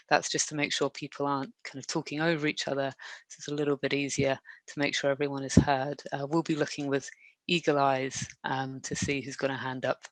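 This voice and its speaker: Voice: mid-range voice, fairly bright tone, dry recording, poor audio. Polite academic host on a video call. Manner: measured, polite, with many "um" fillers. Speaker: workshop chair and co-organiser. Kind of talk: hosting an online academic event.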